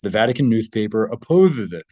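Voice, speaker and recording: A man's voice: on the low side, sing-song, fairly quick, warm tone, no room sound, muffled recording. A man reading a translated article aloud and adding his own informal commentary.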